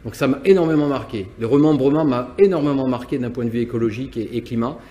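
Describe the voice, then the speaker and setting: Brief: male company owner from Nantes, halting and reflective, mid-pitched. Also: mellow, lo-fi sound. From France, Nantes. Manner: hesitant, many restarts and repeated words. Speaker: business owner. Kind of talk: interview answers, personal reflection.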